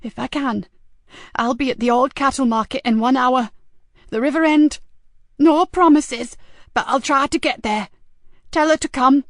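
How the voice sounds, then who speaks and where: A woman's voice: sits high, a little slow, pauses often, dry recording, studio quality, voice pushed up. A woman voicing a frightened, desperate young woman on the run in an audiobook, pleading urgently and tearfully in an odd manner. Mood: urgent, wary.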